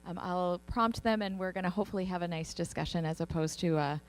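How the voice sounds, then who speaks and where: A woman's voice: lowish voice, quick pace, poor audio. A middle-aged woman moderating a panel as a professor, speaking in a friendly, upbeat manner with some fillers. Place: conference hall at a college.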